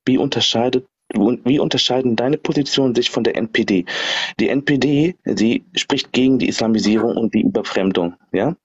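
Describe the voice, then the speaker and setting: Caller, man, quiet and hesitant, husky, muffled lo-fi call line. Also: mid-range voice, a little fast. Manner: hushed and hesitant, keeps apologizing. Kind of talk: livestream call-in questions.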